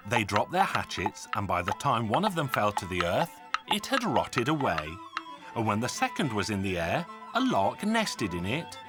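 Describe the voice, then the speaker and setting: Cartoon folk-tale narrator: boastful tall-tale hero, earnest and theatrical, wildly varied intonation, music underneath. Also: male voice, on the low side. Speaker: storyteller. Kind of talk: animated folk-tale storytelling.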